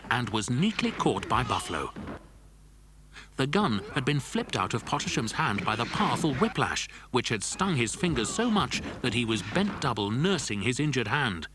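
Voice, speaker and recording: A man's voice: medium pitch, background noise. A man narrating a scripted children's adventure story. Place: dry studio.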